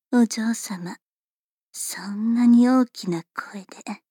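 A woman voicing a polite, teasing female servant who attends a young lady, speaking in formal, unhurried whispers for an ASMR roleplay. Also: on the high side, warm tone, slightly rough, no echo, clean studio sound, full character voice.

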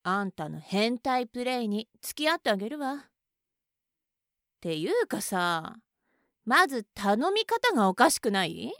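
A woman's voice: a little high, big pitch swings, unhurried, a bit bright, dry recording, clean studio sound, heavily acted. A woman playing a scornful, bossy young woman. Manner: contemptuous, mocking, bossy. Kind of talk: adult audio roleplay.

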